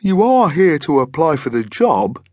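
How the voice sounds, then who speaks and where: A man's voice: fairly high pitch, sing-song, measured pace, darkish tone, dry recording, voice pushed up. A man voicing a huge, friendly, chatty male monster who proudly runs a diner, in a children's story.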